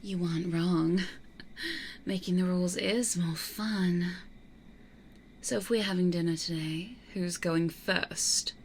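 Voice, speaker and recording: A woman playing a stubborn, possessive vampire in an ASMR roleplay, speaking in a dry, hushed way. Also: fairly low pitch, fairly even intonation, takes it slowly, a little hiss or hum. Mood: grudgingly amused.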